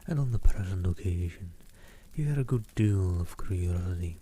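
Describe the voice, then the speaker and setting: A man from the Netherlands reading a novel aloud in a soft, hushed ASMR style on a close microphone. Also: deep voice, expressive, moderate pace, a little hiss or hum. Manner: soft, hushed, often whispered.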